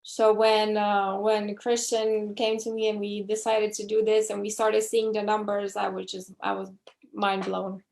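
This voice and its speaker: Female guest, mid-pitched and even, casual conversational delivery. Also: unhurried, vocal fry. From born in Romania, raised in the USA. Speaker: interview guest, online seller. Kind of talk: casual interview.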